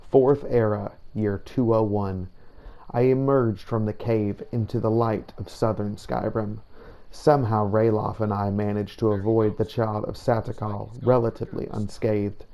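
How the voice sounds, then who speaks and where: A man voicing a solemn, devout warrior who hunts the undead, narrating a game journal in measured, formal words. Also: on the low side, fairly even intonation, dark tone. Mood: solemn, brooding. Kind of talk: in-character journal narration over a video game. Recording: noticeable background noise.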